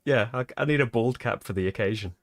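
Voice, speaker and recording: A man's voice: mid-range voice, quick pace. A British man hosting a live board game playthrough, chatting casually and laughing often at his own expense. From Britain. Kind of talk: casual live-stream chat.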